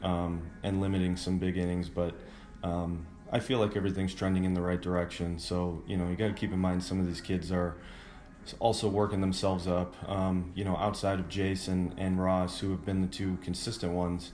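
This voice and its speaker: A man who manages a baseball team, answering interview questions casually and haltingly, thinking aloud as he goes. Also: sits low, level tone, a little mellow. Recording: roomy, echoing sound.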